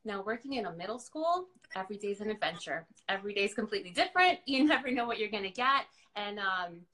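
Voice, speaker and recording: A woman's voice: medium pitch, animated, quick pace, sharp tone. A woman whose first language is Spanish, chatting and explaining as a counselor guest in a casual interview.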